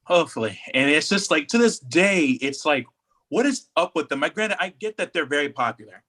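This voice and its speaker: Bright-voiced man chatting casually. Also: on the high side, expressive, fairly quick. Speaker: podcast co-host. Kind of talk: casual chat.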